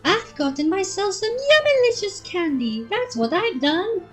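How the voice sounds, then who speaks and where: A hyper, mischievous girl pony in a cartoon fan story. The voice is extremely high and very animated, excitable and squealing, with music behind it. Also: measured pace, full character voice. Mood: playful. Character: hyper, giggly, mischievous young female party pony.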